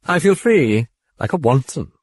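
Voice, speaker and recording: A man voicing a sardonic demon possessing a girl, speaking in a high, mocking, falsely cordial voice. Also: sing-song, a little slow, a little mellow, no echo, studio quality.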